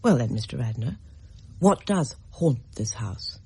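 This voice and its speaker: A shrewd woman house-hunter presses her questions coolly and firmly. Her voice sits low and very breathy. Her pitch still swings with lively movement. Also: unhurried, exaggerated voice. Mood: probing. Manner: cool, probing, insistent. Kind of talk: radio drama acting.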